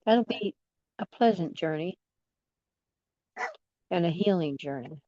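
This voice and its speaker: A woman leading guided imagery in a soothing, gentle manner, leaving long pauses between phrases. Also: on the low side, lively intonation, no room sound, highs cut off. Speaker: guided meditation leader.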